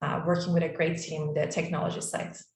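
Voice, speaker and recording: A woman's voice: low-pitched, flat delivery, a little fast. A woman speaking informally as a guest on an online panel, introducing her professional background. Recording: roomy, echoey call audio.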